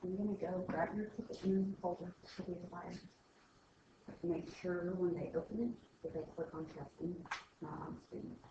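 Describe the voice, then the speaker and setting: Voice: mid-range voice, steady tone, normal speed, warm tone. A school staff member chatting casually with a colleague. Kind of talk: casual chat. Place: indoor classroom. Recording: echoey classroom, distant muffled mic, clear noise.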